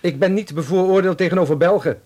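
A man's voice: sits high, fast talker, darkish tone. An actor playing a surly, easily offended policeman in a radio drama, brusque and grumbling. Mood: sulking, defensive. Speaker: police officer at station desk. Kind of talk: naturalistic radio drama acting.

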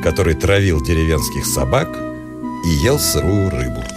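A reserved professor and storyteller in a radio drama. The voice is very low, with lively intonation and an understated, courteous manner. Prominent music runs underneath. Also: male voice, moderate pace, heavily acted. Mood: wry narration. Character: reserved, polite city professor and radio play narrator. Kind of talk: literary narration and radio drama.

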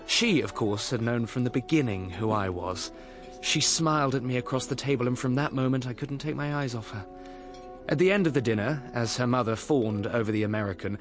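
A man's voice: medium pitch, lightly acted. An actor playing an earnest, impulsive young Englishman, well-spoken and emotional, in a radio drama. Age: young adult. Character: earnest, lovestruck, restless young Englishman, aspiring composer. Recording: music under the voice.

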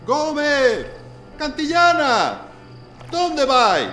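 A man's voice: extremely high pitch, wildly swooping pitch, very slow, pushed and bright, heavily acted. A man voicing a frightened lieutenant in a radio play, calling out urgent orders in a wildly rising and falling voice. The sound is roomy and echoing, with music running underneath. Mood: shouting. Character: republican lieutenant under fire at the port, alarmed. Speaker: radio drama actor. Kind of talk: radio drama acting.

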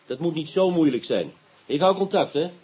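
An actor playing a brisk, wry police inspector with a Dutch accent in a radio drama. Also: male voice, a little high, animated, lightly acted. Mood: businesslike. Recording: dull, noisy radio play recording.

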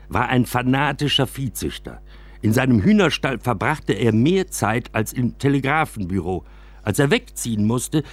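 A man reading a first-person literary narrative in an expressive storytelling manner. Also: medium pitch, dry recording. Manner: expressive, acts out quoted dialogue. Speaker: narrator and reader of a memoir.

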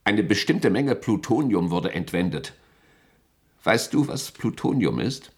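An actor playing an impatient, domineering state security chief in a radio play, speaking in an insistent, irritable manner. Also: male voice, on the low side, big pitch swings, measured pace, darkish tone, husky, exaggerated voice. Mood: exasperated. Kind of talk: German radio play acting.

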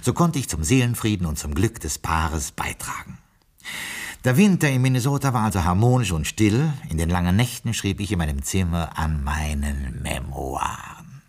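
A discreet butler in a radio play, very low and husky. He speaks with formal courtesy and dry irony, and his pitch moves expressively as he confides his memoirs. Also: lively intonation, moderate pace, a little mellow. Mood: wry, confiding. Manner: formal, courteous, ironically understated. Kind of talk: German radio play acting with narration.

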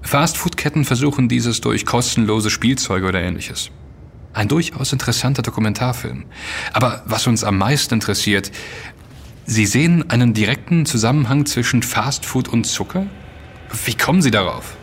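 A man's voice: mid-range voice, moderate pace, vocal fry, slight background noise, lightly acted. A man playing a probing investigator in an audio drama, speaking in a formal, composed and analytical manner. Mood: inquisitive. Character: measured, probing male investigator, formally polite. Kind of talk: German audio drama dialogue.

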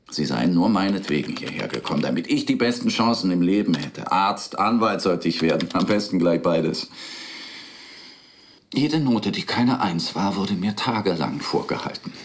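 A man's voice: low-pitched, slight background noise. An actor playing a weary, melancholic middle-aged dentist in a radio play, confiding hesitantly and with resignation in his rabbi. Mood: bitter, reflective. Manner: hesitant, brooding, resigned, with sighs. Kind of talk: naturalistic radio play dialogue.

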